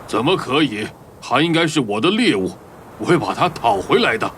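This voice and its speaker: An actor playing an angry, vengeful male tiger villain with a gravelly growl in a children's cartoon story. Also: mid-range voice, sing-song, a little fast, music in the background, close to an everyday voice. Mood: outraged. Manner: possessive, threatening.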